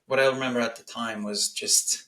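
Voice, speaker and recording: A man's voice: mid-range voice, steady tone, bright, forceful tone. A man in his thirties hosting a podcast interview, asking long, chatty questions full of fillers and asides. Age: 30s. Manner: quick, chatty, many fillers. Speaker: podcast host.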